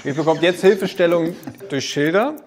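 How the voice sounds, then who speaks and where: A man hosting and asking the contestants questions in a brisk, friendly way. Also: on the high side, a little hiss or hum. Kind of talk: casual interview questions.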